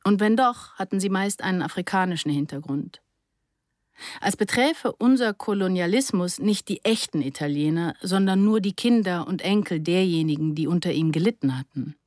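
Low-voiced woman reading in a dry studio. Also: moderate pace, a little mellow, dry recording. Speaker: narrator reading a first-person memoir. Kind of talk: scripted literary narration, reflective essay read aloud.

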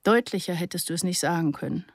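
A woman narrates a reflective, scripted literary text. Her voice sits slightly low, and she phrases in a measured, articulate way. The sound is dry, with very little room around it. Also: no echo. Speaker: narrator reading a first-person memoir.

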